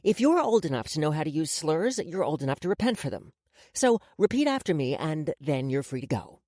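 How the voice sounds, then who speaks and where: A woman reader plays a menacing woman in charge from an audiobook. Her voice is low and very animated, mocking and commanding, recorded close and dry. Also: a little fast, dry recording. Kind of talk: audiobook character dialogue.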